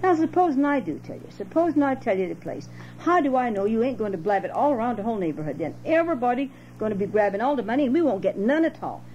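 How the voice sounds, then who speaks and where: A woman's voice: fairly high pitch, very animated, quick pace, hardly any pauses, darkish tone, voice pushed up. A woman voicing a cheerful, sly male trickster rabbit in a storybook, with folksy, persuasive dialect, on a home cassette recording. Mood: sly, confiding. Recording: dull, noisy home cassette with hum.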